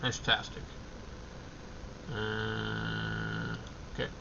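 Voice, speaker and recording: A man hosting a casual livestream walkthrough, thinking aloud in halting, fragmented short phrases. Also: on the low side, very animated, gravelly, highs cut off, background noise. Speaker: livestream host.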